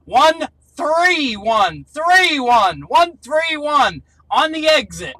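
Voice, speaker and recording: A man with a high-pitched, bright voice works a CB radio. He talks in casual two-way radio style, checking in as an operator would. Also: very animated, extremely slow, no echo, poor audio. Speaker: CB radio operator testing range from a car.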